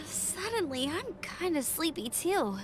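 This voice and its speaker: Perky, high-voiced young girl scout. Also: full character voice. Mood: flustered, sleepy. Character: perky young female scout, friendly, eager. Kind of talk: video game voice acting. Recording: prominent music under the voice.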